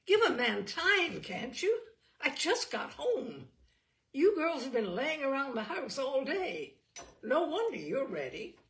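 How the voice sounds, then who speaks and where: A woman reading a story, voicing a harried young man who grumbles loudly in exasperation at his sisters. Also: sits high, sing-song, slow, pitched above the performer's own voice, heavily acted. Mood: exasperated.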